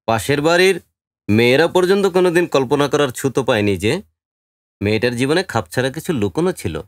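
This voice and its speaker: Male actor as knowing village informant, lively intonation, dry close sound, audio drama. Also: mid-range voice, a little fast, no room sound, natural voice. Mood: grave, intrigued. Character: knowing village man recounting local murders.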